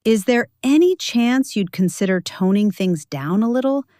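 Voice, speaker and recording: A woman's voice: mid-range voice, expressive, normal speed, warm tone, no room sound, pitched above the performer's own voice, natural voice. A woman narrator plays a male sheriff's deputy in an audio story. He speaks formally and carefully, with weary restraint. The recording is dry and close.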